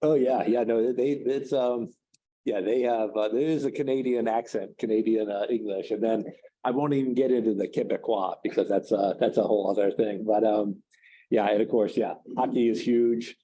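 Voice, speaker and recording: A man giving a seminar talk on trade, speaking haltingly with many fillers and restarts. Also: fairly low pitch, fairly even intonation, dark tone, breathy edge, low-quality recording. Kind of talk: seminar talk, answering questions.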